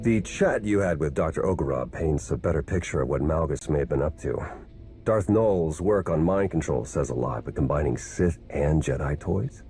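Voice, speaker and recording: Game agent: very low, mellow, wry and plain-spoken. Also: male voice, lively intonation, normal speed, faint music behind. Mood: wry, intrigued. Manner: informal, wry. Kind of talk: video game cutscene acting.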